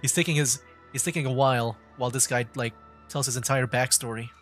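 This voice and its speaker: A man streams a video game, commenting casually as he plays. His voice is mid-pitched, with faint music underneath. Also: fairly even intonation, brisk, a bit bright. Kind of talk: casual livestream gameplay commentary.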